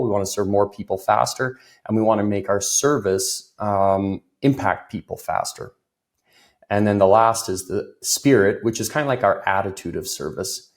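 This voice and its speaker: Man, low-ish voice, a little rough from a cold; loose and talkative interviewee. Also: a little mellow. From Ireland. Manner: loose, filler-heavy, laughs easily. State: recovering from a cold, voice a bit off. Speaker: guest, business consultant. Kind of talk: conversational interview.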